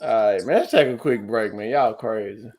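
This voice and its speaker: A man's voice: fairly low pitch, moderate pace, darkish tone. A man hosting a podcast in casual banter, teasing and slangy. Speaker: podcast host.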